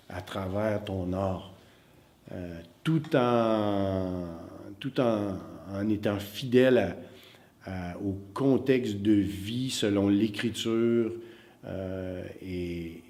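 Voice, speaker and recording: A middle-aged man with a very low voice. He is an acting teacher answering interview questions in a reflective way. He pauses often and repeats words as he hunts for the right phrase. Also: darkish tone. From Northern Ontario. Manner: hesitant, many fillers and repeated words.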